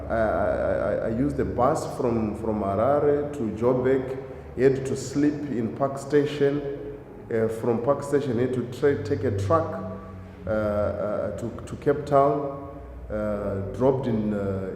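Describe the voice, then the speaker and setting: A man's voice: mid-range voice, mellow. Hesitant man in an echoey hall. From Zimbabwe. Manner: hesitant, many fillers and restarts. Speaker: guest, driving school owner. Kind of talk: interview answers. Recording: echoey hall, faint noise.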